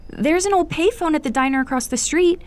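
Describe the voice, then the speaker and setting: A woman's voice: sits high, a little fast, natural voice. A woman acting a fussy, chatty library clerk in a horror audio drama, anxious about her boss. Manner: chatty, breathless whispering.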